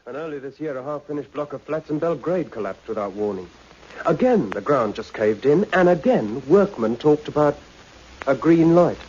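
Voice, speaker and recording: A keen newspaperman played by a male actor with a dark, mellow voice of medium pitch. He talks informally, conversationally, in a radio drama with muffled, faintly noisy sound. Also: lively intonation, moderate pace, lightly acted. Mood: keen, speculating.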